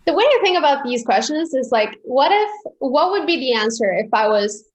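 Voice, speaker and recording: A young woman from Peru chatting as an interview guest, talking freely with many restarts and quick laughs. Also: sits high, very animated. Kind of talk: casual interview chat.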